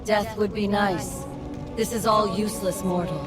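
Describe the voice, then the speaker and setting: A woman's voice: mid-range voice, fairly even intonation, moderate pace, natural voice. A woman voicing a proud, weary captive dragon queen in a fantasy game cutscene, bitter and disdainful, with music behind. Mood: weary, scornful.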